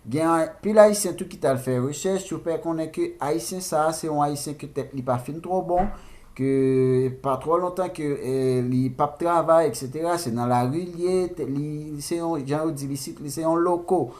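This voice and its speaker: A man with a fairly high voice and a Haitian accent talks to his viewers as a channel host. He gives informal news commentary, explaining things in a halting way and circling back over his words. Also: darkish tone.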